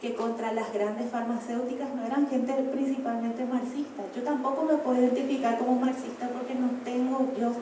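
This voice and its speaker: A woman's voice: fairly high pitch, level tone, brisk, few pauses, warm tone, a little hiss or hum. A woman, speaking out emphatically on a panel at a book presentation, with pointed rhetorical questions. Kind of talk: panel discussion remarks. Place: large hall, book presentation. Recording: echoey hall from far off.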